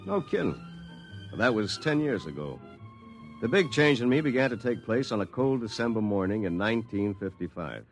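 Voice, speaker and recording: A man's voice: fairly low pitch, big pitch swings, moderate pace. An actor playing a self-satisfied lawyer telling his own story, confiding and wry, as 1950s radio drama narration. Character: self-satisfied, successful male attorney recounting his story. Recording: 1950s radio broadcast, music behind.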